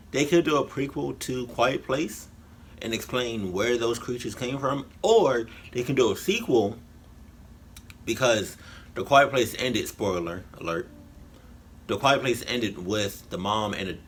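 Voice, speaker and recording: A man giving casual, rambling reaction commentary in colloquial slang, haltingly and often repeating his phrases. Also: lowish voice, fairly bright tone, slight background noise. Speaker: reaction video host.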